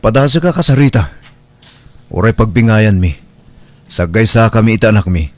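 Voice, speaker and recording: A man's voice: lowish voice, expressive, moderate pace, a little mellow, no room sound, close to an everyday voice. A man with an Ilocano accent playing a concerned, plain-spoken father and husband in an audio drama, earnest and questioning.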